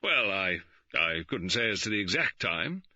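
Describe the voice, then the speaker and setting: Man doing a blustering pompous gentleman. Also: deep voice, very animated, normal speed, no room sound, heavily acted. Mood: stiff. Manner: blustering, indignant, spluttering. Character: pompous middle-aged man, blustering and easily angered. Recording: dull, dry studio recording.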